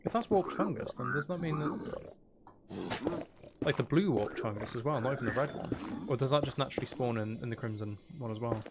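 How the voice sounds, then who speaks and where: A man's voice: mid-range voice, expressive, normal speed, noisy background. A man giving casual gameplay commentary, rambling and thinking aloud to himself as a gaming video host.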